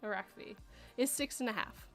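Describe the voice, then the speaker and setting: A woman's voice: on the high side, normal speed. Woman, 30s, from Canada, casual livestream host, chatty, laughs a lot, faint background music. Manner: chatty, laughs often, reads out chat messages. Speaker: podcast host reading chat.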